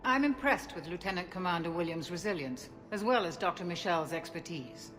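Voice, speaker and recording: A composed, courteous ship's doctor. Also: female voice, on the low side, animated, a bit bright, soft background music. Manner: measured, courteous, formal. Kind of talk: video game cutscene dialogue.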